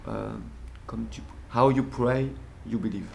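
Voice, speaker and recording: A man's voice: medium pitch, steady tone, measured pace, vocal fry, a little mellow. A man from France speaking English with a French accent, a performer giving a casual interview and searching for words with frequent restarts.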